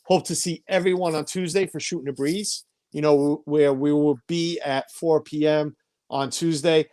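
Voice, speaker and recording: A man's voice: fairly high pitch, fairly even intonation, normal speed, mellow, dry recording. Man in his forties, live stream host, chatty, reads comments aloud, dry close sound. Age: 40s. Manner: chatty, stumbles over names, reads viewer comments aloud. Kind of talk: casual live stream chat. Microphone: home streaming setup.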